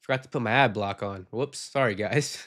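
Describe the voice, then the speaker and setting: A man's voice: lowish voice, moderate pace, a bit bright. A man hosting a casual postgame podcast, reading viewer comments and giving frank opinions, sick and losing his voice. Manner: frank, rambling, reads viewer comments aloud. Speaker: podcast host.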